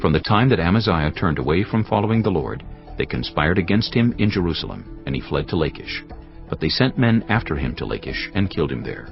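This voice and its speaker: A man narrating a dramatised scripture reading in a measured, solemn way. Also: low-pitched, big pitch swings, gravelly. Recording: slightly muffled with soft music.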